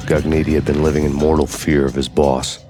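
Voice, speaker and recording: An actor voicing a weary cop narrating in a flat, deadpan, hard-boiled noir delivery. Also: male voice, a bass voice, fairly quick. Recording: loud game music and noise behind.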